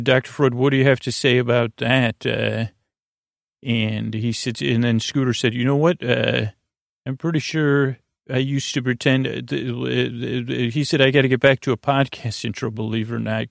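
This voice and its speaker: A man hosting a sleep podcast with a rambling, halting bedtime monologue, soothing and creaky, wandering off into self-deprecating tangents. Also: mid-range voice, steady tone, dry recording, clean studio sound. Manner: soothing, lulling. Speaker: podcast host.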